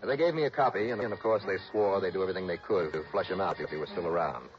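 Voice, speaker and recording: A man's voice: sits low, fast, faint music behind, lightly acted. A man with an American accent playing a wry, hard-boiled insurance investigator in an old-time radio detective drama, speaking in clipped tough-guy style. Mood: dry narration. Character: hard-boiled, dryly confident male insurance investigator narrating his case. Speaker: lead radio actor. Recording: dull, lo-fi 1960s radio broadcast.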